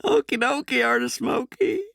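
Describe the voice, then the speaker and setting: An actor playing a folksy, easygoing male jailer in an audio drama, with a creaky voice. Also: very high voice, expressive, normal speed, fairly bright tone, full character voice. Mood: chipper. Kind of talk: dramatic dialogue in fiction podcast.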